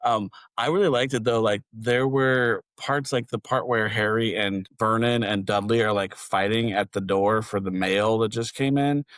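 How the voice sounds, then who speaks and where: A man's voice: lowish voice, fairly even intonation, moderate pace, few pauses, a bit bright, dry recording. A man co-hosting a podcast in loose, slangy conversation, mimicking the reactions he talks about. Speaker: podcast co-host. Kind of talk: casual chat discussing books and audiobooks.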